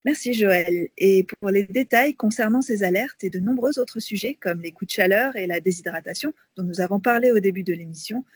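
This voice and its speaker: A woman's voice: mid-range voice, slightly rough, dry recording. A woman co-hosting a radio magazine, presenting information and putting questions as an interviewer. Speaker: radio co-host.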